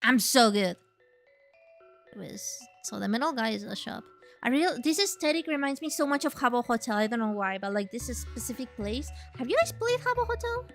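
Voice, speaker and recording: A woman from Chile chatting casually and excitedly while streaming a game, with the odd swear. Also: on the high side, brisk, faint music behind. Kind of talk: casual gaming livestream chat.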